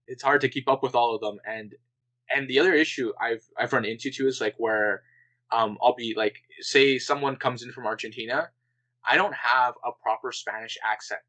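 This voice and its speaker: A young man chatting on a podcast with a bright, forceful voice. He rambles casually, leans on "like" as filler and laughs often. His tone stays loose and friendly-paced. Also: mid-range voice, steady tone, moderate pace, rough recording. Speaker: podcast co-host. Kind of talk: casual chat.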